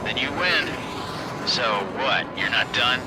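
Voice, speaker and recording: A man playing a cocky, excitable fighter pilot chattering over the radio. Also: mid-range voice, takes it slowly, very noisy. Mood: taunting. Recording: radio chatter under loud game music.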